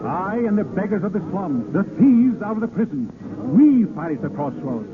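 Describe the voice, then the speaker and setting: An actor playing a bold, romantic vagabond poet leading rebels, declaimed in an impassioned old radio drama style. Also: male voice, high voice, very animated, moderate pace, crowd noise. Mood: ardent, resolute. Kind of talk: 1940s radio acting. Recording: tinny old radio recording with music.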